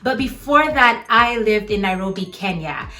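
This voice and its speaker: A woman's voice: medium pitch, lively intonation, moderate pace, pushed and bright, soft background music. A young woman from Uganda talking earnestly to camera in a faith vlog, with small laughs between her phrases.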